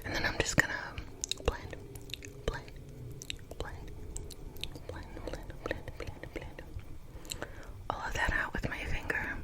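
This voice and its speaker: A young woman whispering through a makeup tutorial, rambling with plenty of fillers and chatting to her viewers. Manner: chatty, self-conscious, asks viewers for comments. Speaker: beauty vlogger.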